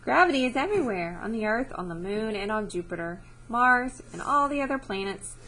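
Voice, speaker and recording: Higher-voiced woman teaching children. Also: lively intonation, fairly quick, sharp tone, slight background noise, rough recording. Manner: instructive, checks understanding with short questions. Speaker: teacher reading a book aloud to children. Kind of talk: read-aloud science lesson with questions to children.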